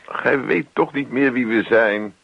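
An actor playing a put-upon, evasive husband in a radio play, muttering, grumbling and placating. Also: male voice, mid-range voice, unhurried, creaky, breathy edge. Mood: irritated, grumbling. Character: put-upon evasive husband who just wants peace and his newspaper. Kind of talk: Dutch radio play acting. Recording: dull lo-fi old radio recording.

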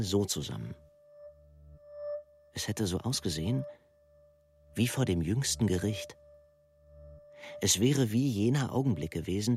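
A man giving a measured, reflective literary reading of letters and verse. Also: on the low side.

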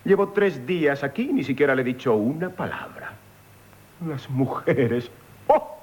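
An actor playing a scornful, woman-hating gentleman bachelor, exclamatory and disdainful, in a radio comedy with a Castilian Spanish accent. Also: medium pitch, lively intonation, measured pace, close to an everyday voice. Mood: scornful, incredulous. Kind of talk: theatrical 1970s radio comedy acting.

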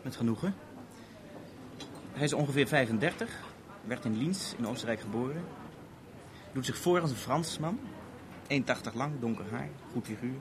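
An actor in a 1970s radio drama playing an urbane, wry French police officer who speaks in measured, formal, dryly ironic sentences. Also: male voice, medium pitch, expressive, natural voice. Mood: businesslike. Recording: noisy old radio play recording.

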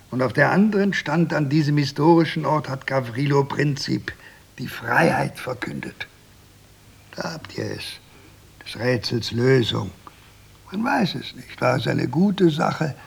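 An old man, the last survivor, in a radio drama. His voice is very gravelly and husky. He declaims slowly and insistently, with solemn weight. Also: mid-range voice, heavily acted. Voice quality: raspy, gravelly.

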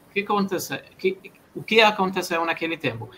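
A man with a slightly raised, bright, forward tone speaks Portuguese as a learned language, pausing and restarting often. A faint hiss sits under the voice. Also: sits high, expressive. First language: not Portuguese. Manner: halting, hesitant non-native Portuguese with many restarts. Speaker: guest developer. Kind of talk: live stream chat.

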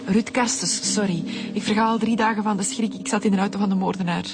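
A woman's voice: mid-range voice, steady tone, fast, natural voice. Woman playing earnest, shaken Flemish witness. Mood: anxious, defensive. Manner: defensive, earnest recounting. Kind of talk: radio drama acting.

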